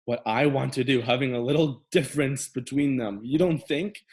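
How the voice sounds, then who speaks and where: A man playing a frustrated, rebellious young adult son in a stage play reading, exasperated, sarcastic and halting. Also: mid-range voice, darkish tone, natural voice. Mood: exasperated, bitter.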